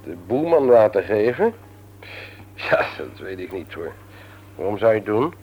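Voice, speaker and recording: A man's voice: on the low side, big pitch swings, measured pace, a little hiss or hum. An actor playing a mild, hesitant office manager and family man in a Dutch radio play, polite and apologetic. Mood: mild, fatherly. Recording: muffled old radio play recording.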